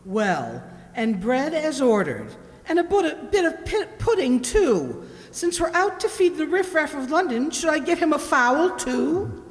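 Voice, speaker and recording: A woman's voice: high-pitched, sing-song, measured pace. A woman playing a bossy, sarcastic cook in old London in an amateur radio play. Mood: grudging, sarcastic. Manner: bossy, mocking, scornful. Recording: echoey hall, long reverb.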